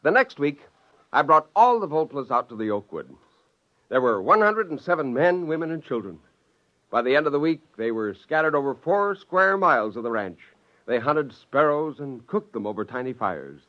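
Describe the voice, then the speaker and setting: An actor playing an excitable scientist father who narrates and acts in an old radio drama. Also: a little high, moderate pace. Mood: gleeful narrating. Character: excitable, gleeful scientist father narrating his secret creation. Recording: dull lo-fi old radio, music underneath.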